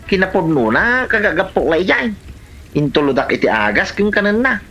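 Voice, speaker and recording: An actor plays a meddling relative in an Ilocano comedy drama. The voice is bright and forceful, swinging widely in pitch as it nags. Faint music runs underneath. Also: big pitch swings, normal speed. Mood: matter-of-fact. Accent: Filipino, Ilocano.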